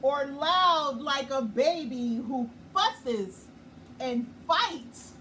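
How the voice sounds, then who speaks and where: A woman hosting a nightly children's story time, reading a picture book slowly and gently and pausing to explain it. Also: high-pitched, animated. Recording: far from the mic with clear background noise.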